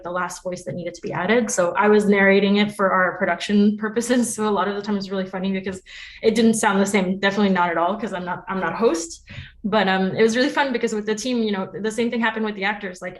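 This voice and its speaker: A woman talking casually as an interview guest about her work as a producer, chatty and quick to laugh. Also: mid-range voice. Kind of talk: casual, animated interview chat.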